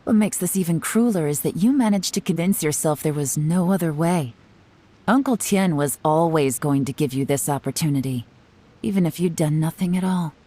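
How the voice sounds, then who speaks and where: A woman's voice: deep voice, moderate pace, warm tone. An actress playing a composed, teasing female agent who confronts a suspect coolly. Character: cool, sly female secret agent. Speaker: game character.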